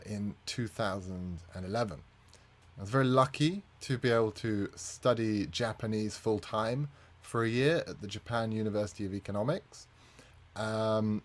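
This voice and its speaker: A man, a native English speaker, presenting a conference talk as a community manager, friendly with frequent fillers. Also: fairly low pitch.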